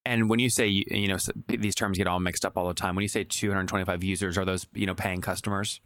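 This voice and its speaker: Fast, low-voiced American male interviewer. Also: little pitch movement, fairly bright tone, no room sound, clean studio sound. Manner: probing, interjects short acknowledgements, restates in plain terms. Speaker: podcast interviewer, host. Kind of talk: brisk business interview.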